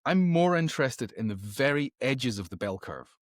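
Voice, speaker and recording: A man's voice: medium pitch, sing-song, normal speed, dry recording, studio quality. A man speaking as a podcast guest in conversation, emphatic and opinionated, often repeating words as he thinks. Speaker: podcast guest, author and creativity educator.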